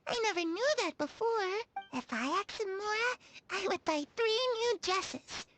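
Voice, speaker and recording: Very high-voiced, curious young girl. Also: animated, a little slow, a bit bright, exaggerated voice. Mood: curious, playful. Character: sweet, wistful, curious sheltered young girl. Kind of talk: video game voice acting.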